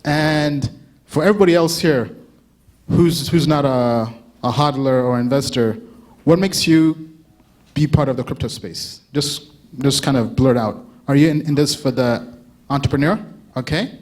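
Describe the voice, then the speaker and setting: A man's voice: medium pitch, unhurried, a little mellow. A man from Uganda in his thirties giving a casual conference talk, chatting with the audience, asking them questions and laughing often. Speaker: conference speaker.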